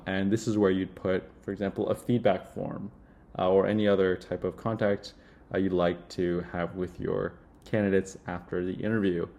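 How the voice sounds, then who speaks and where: A young man with a low-pitched voice. He talks casually, as if demoing a product indoors, and his delivery halts often on filled pauses. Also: a little mellow. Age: young adult. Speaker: software demo presenter playing a job candidate. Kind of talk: casual product demo and phone screen.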